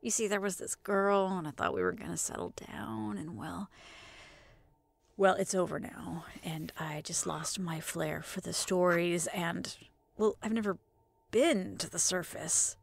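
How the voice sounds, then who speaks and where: A woman playing a wry, expressive semi-retired radio storyteller from an underground city in an audio drama. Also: on the low side, a little slow, creaky, no echo, heavily acted. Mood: wistful, hesitant.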